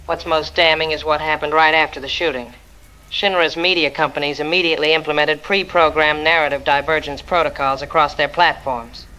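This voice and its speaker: A man's voice: on the high side, bright, forceful tone, rough recording. A man playing a grave investigator in an audio drama, reporting in an emphatic, methodical way. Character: grave investigator exposing a corporate conspiracy.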